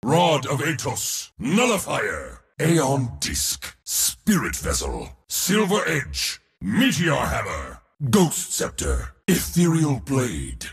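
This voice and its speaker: A man voicing a proud, boastful god of war for a video game, gravelly, pompous and theatrical in delivery. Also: mid-range voice, in a large hall, exaggerated voice. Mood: proud, declaring. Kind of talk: video game character voice lines.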